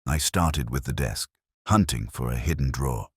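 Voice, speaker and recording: A man's voice: very deep voice, normal speed, husky, no room sound, studio quality. A man narrating a hardboiled first-person detective story in the manner of an audiobook.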